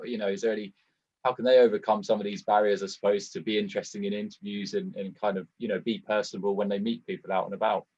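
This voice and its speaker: A man with a lowish voice puts a casual question as a co-host. He speaks quickly yet hesitantly, circling round his point. The audio is a bit dull. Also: a little mellow.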